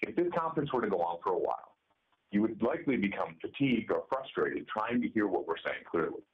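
A man's voice: lowish voice, very animated, fast, husky, lo-fi sound. A middle-aged man giving a scripted demonstration, picked up distantly by a cell phone speakerphone. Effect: cell phone speakerphone sound. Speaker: presenter. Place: small meeting room, indoors.

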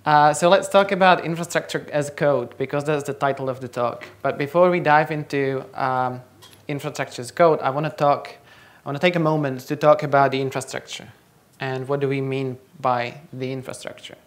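A man's voice: medium pitch, fairly even intonation, normal speed, hardly any pauses. A young man from the Czech Republic, a native Czech speaker, giving a conference talk in a lecture hall and quizzing the audience. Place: large lecture hall, on stage.

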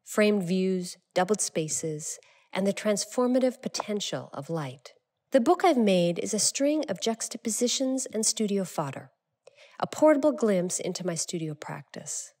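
A woman reads a thoughtful statement about her studio work at an even, mid-range pitch. Her delivery is calm and reflective, with no rush. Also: unhurried. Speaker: exhibiting artist. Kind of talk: reading a prepared artist statement.

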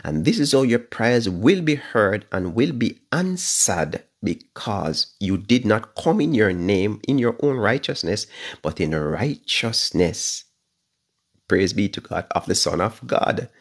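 A man's voice: lowish voice, very animated, unhurried, breathy edge. A man in his fifties with a Jamaican accent, preaching a livestream Bible study from his living room. He talks fervently, repeats phrases and draws out stressed words. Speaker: pastor. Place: living room at home, indoors. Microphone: phone camera at arm's length.

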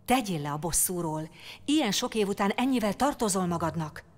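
A woman's voice: mid-range voice, expressive, lightly acted. Woman as a stern councilwoman in a reverberant hall. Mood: solemn. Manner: measured, formal, admonishing. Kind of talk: audio drama acting. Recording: long reverb of a large hall.

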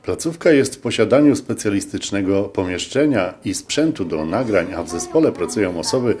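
A man's voice: on the low side, lively intonation, fairly quick, darkish tone, a little hiss or hum. A man reading scripted narration for a local news report.

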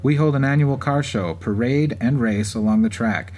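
A man hosts with scripted narration at a middle pitch. Faint racetrack event ambience runs behind his voice. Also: moderate pace, a little mellow. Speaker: podcast host. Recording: clear background ambience noise.

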